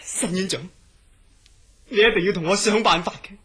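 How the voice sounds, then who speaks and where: A man's voice: sits high, normal speed, a bit bright, husky. An actor playing a frightened, overwrought male psychiatric patient in a horror radio drama, pleading with a Hong Kong Cantonese accent. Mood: panicked pleading. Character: terrified male mental hospital patient dreading his nightmares.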